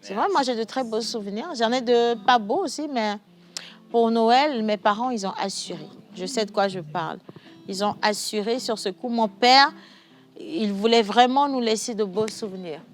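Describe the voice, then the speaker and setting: A woman's voice: fairly high pitch, moderate pace. A woman hosting a studio talk show in Togolese-accented French, chatting animatedly and reading viewer messages aloud. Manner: animated, laughing, shifts from chatty to soft.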